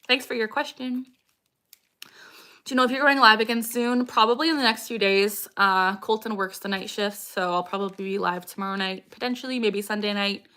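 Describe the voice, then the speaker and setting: A young woman in her twenties with a bright, clear voice, hosting a relaxed livestream Q&A. She chats with viewers and greets them one by one, breaking into laughter and sudden vocal tics along the way. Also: on the high side. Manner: chatty, greets viewers by name. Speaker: livestream host. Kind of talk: casual livestream Q&A, reading chat aloud.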